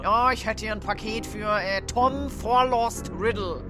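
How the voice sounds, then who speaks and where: A woman's voice: fairly high pitch, a little slow, close to an everyday voice. A woman voicing an unimpressed, casual male postman in a comic audio parody. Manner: blasé, colloquial. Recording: loud music behind.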